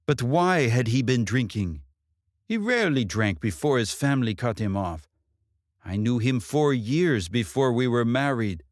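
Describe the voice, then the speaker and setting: Guy reading as blunt older mom from an audiobook, proud and sharp tongued, pitched up, really lively. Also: a little slow, no echo, clean studio sound, close to an everyday voice. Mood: grave. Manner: emphatic, blunt, rhetorical questioning. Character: older mother, wise, proud, sharp-tongued, bitter about in-laws.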